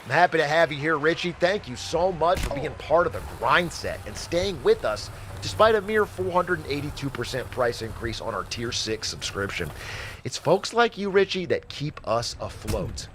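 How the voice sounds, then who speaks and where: A man's voice: a little high, big pitch swings, moderate pace, a bit bright, a little hiss or hum. An actor playing a shady, slick finance guru in a video game, with a glib, showy salesman's delivery. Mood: smug, breezy. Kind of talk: game voice acting, hosted advice show patter.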